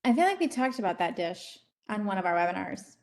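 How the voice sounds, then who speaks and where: A middle-aged woman co-hosting a live webinar on a video call, easygoing and quick to laugh. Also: medium pitch, very animated. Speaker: webinar co-host.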